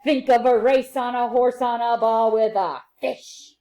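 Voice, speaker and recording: A woman teacher reading a picture book aloud to children, playful and sing-song, with quieter chatty asides. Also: on the high side, steady tone, a little slow.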